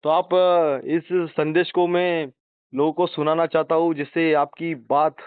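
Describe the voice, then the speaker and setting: A man's voice: a little high, level tone, a little slow, clean tone. A man doing a phone interview, prompting the other person with questions in a sympathetic manner. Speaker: interviewer, activist. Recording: roomy, tinny phone-line audio.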